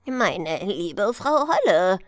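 A kindly, wise stork from a fairy tale, as a woman reader puts him on. He speaks slowly and courteously, with emphatic, swooping melody. The recording is dry and close. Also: medium pitch, sing-song, no room sound. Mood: gracious, reassuring. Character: kindly, well-travelled, wise male stork. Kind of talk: fairy-tale storybook reading.

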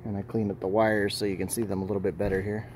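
A man giving a casual hands-on update as a video host, talking in an informal, halting, rambling way. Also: on the low side, little pitch movement, noisy background. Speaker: tech video maker, host.

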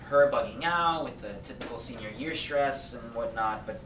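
Male actor as casual, slangy, protective youth, stage drama, distant with clear noise. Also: medium pitch, moderate pace, a bit bright, close to an everyday voice. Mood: frank, protective. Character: frank, protective young adult child of the family. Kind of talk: staged play reading. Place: theatre stage, indoors. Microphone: far camera. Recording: echoey, noisy stage from afar.

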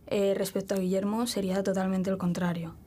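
A woman's voice: medium pitch, level tone, brisk, dark tone, a little hiss or hum. A nineteen-year-old woman from Madrid, talking bluntly and frankly on a dinner date. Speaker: dating show contestant. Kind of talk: casual conversation on a dinner date. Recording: TV clip with background music.

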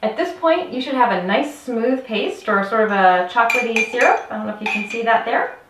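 A woman's voice: a little high, animated, brisk, noisy background. A woman in her thirties, a health coach, giving an upbeat step-by-step cooking demonstration in her home kitchen and talking straight to the viewer. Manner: upbeat, instructional, addresses viewer directly. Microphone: camera mic at a distance. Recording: roomy kitchen from a distance.

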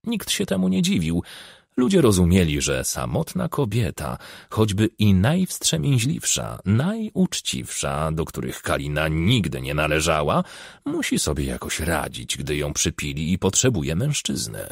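Male narrator, husky and rough, lively intonation, scripted crime fiction reading, dry studio sound. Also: low-pitched, measured pace, no echo. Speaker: audiobook narrator. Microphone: close studio microphone.

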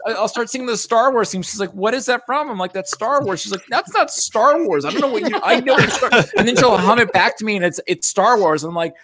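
Animated, higher-voiced man bantering. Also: fast, few pauses, a crowd in the background. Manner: self-deprecating, joking. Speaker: cast member of a table read. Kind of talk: casual banter. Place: indoor room, video call.

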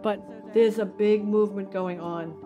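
A woman's voice: medium pitch, steady tone, normal speed, muffled recording, over music. A woman speaking earnestly and conversationally in a documentary interview. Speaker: support group founder.

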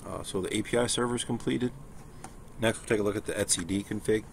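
A man giving an informal screen-share software walkthrough, hesitant and thinking aloud as he goes. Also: on the low side, a little fast. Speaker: software tutorial presenter. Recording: clear steady background noise.

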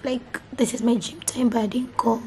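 A young woman from Kenya with a Kenyan accent, chatting to camera in a casual vlog, hoarse with a sore throat and switching between English and Swahili. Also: a little high, level tone, normal speed, darkish tone. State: hoarse, losing her voice. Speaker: vlogger. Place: indoors. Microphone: camera mic held close.

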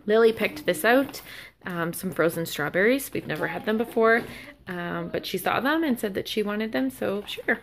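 A woman's voice: mid-range voice, animated, normal speed. A young woman talking casually and a little hesitantly as she narrates a home vlog. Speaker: vlogger. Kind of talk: casual grocery haul narration, unscripted. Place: indoors at home. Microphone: handheld camera, held by speaker. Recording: clear background noise, handling clicks.

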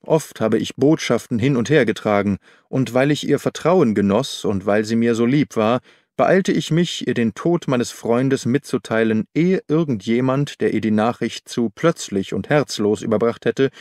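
A man voicing a weary, earnest and dignified gentleman in an audiobook, speaking in formal, measured phrases. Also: medium pitch, dry recording, clean studio sound, close to an everyday voice. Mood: earnest, pained. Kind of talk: audiobook character dialogue.

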